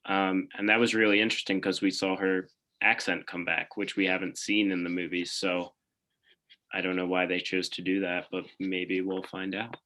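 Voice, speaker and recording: Bright-voiced man thinking aloud. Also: deep voice, little pitch movement, normal speed. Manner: thinks aloud and hedges often. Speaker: podcast co-host. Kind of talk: casual chat.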